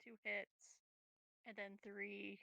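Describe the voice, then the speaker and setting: Creaky, bright-voiced woman at game night. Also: medium pitch, completely flat delivery, takes it slowly, no room sound, rough recording. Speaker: tabletop game player. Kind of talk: casual chat during a game.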